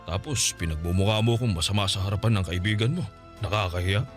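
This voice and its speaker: A man's voice: fairly low pitch, fast, soft background music, close to an everyday voice. A man playing a boastful, manipulative middle-aged Filipino father in a radio drama, wheedling and self-righteous as he scolds. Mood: defensive, indignant.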